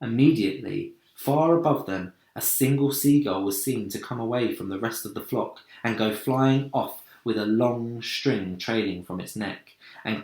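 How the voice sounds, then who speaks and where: A man reading a children's story aloud in an expressive, theatrical manner. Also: medium pitch. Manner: dramatic, theatrical. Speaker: storybook reader, teacher. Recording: roomy echo.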